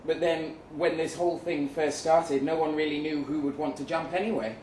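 A man reading a first-person short story aloud dramatically, with measured delivery and deliberate pauses. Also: a little high, fairly even intonation, darkish tone. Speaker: short story reader at a live event. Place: event room in a pub. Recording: roomy, distant mic with faint noise.

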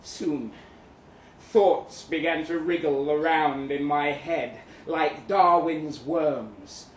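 A man's voice: a little high, takes it slowly. A man performing a live dramatic reading as a bitter, witty crippled young Russian ex-soldier, in a theatrical, florid, wry manner. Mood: wry, musing. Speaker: performer reading a short story aloud. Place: live event venue in London, indoors. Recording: roomy, distant live recording with noise.

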